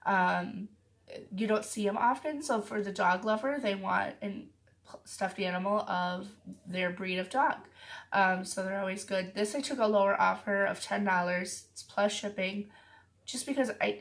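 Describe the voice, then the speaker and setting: A woman who is getting over a cold, with a raspy voice, chatting casually to her viewers about her weekly sales and filling her talk with frequent ums. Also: mid-range voice, a bit bright. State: sick, with a cough. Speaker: reseller vlogger. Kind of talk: casual chat to camera.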